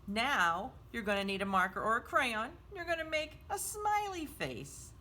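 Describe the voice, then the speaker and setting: A woman with a bright, clear-cut voice talks young children through a craft step by step. Her pitch swings widely in a sing-song way as she gives simple instructions. Faint noise sits behind her. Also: big pitch swings, moderate pace. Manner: sing-song, simple child-directed explaining, often refers to self in third person. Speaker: children's storytime and craft host.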